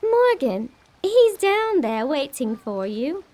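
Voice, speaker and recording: A woman's voice: sits high, very animated, takes it slowly, dark tone, heavily acted. A woman playing a timid, secretive seven-year-old English girl in an audio horror drama, childlike and earnest. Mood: insistent.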